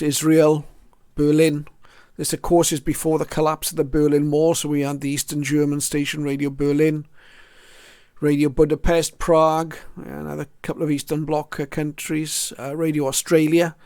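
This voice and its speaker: A middle-aged man presents his hobby in a casual, halting way. His voice sits a little above average in pitch and moves very little up or down. The sound is dry, with hardly any room in it. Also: completely flat delivery, warm tone, clean tone, dry recording. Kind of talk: casual personal narration showing old logbooks.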